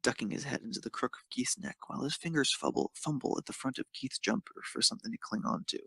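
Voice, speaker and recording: A man's voice: mid-range voice, quick pace, gravelly, pushed and bright, breathy edge, no room sound. A man narrating a piece of fan fiction, reading it aloud.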